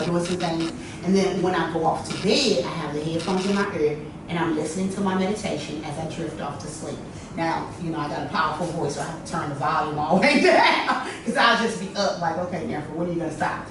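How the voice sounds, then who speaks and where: A woman hosting a workshop, telling stories to a small group in a lively, chatty way, with a lot of "you know.". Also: mid-range voice, far from the mic. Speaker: workshop host. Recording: echoey room, from afar, noisy.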